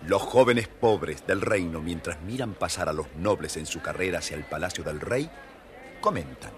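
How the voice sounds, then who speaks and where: A man narrating a children's fairytale theatrically in a husky voice. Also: animated, moderate pace, slight background noise. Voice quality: husky, deep. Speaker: storyteller, narrator.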